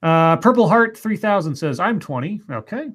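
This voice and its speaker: A man in his late thirties co-hosting a casual online chat show, reading viewer comments aloud with dry, deadpan reactions. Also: high-pitched, normal speed. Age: late 30s.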